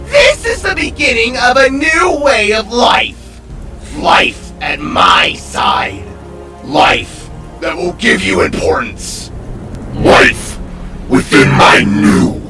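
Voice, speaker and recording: An echoing, grandiose glitch overlord, taunting and theatrical. The pitch swings wildly while the words are stretched out slowly. Music plays behind this story villain. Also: male voice, huge pitch swings, pushed and bright, far from the mic, heavily acted. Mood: exultant, grandiose. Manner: theatrical, mocking, drawn-out delivery. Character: gleeful megalomaniac male glitch being, grandiose taunting villain.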